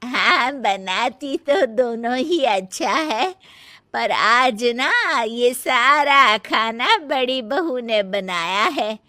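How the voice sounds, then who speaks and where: An older mother-in-law from a cartoon family tale, voiced by a woman. She is gracious and fussing, drawing out her words slowly in a dry, close recording. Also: a little high, expressive, pushed and bright, no echo, full character voice. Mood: polite, explaining.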